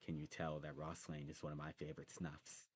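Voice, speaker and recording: Very deep-voiced man, rambling shop chat. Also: monotone, a little fast, slight background noise. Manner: rambling, informal, self-correcting explanations. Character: friendly demon snuff shop keeper, knowledgeable and enthusiastic. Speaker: ASMR performer playing a snuff shopkeeper. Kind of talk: ASMR shop roleplay, casual recommending chat.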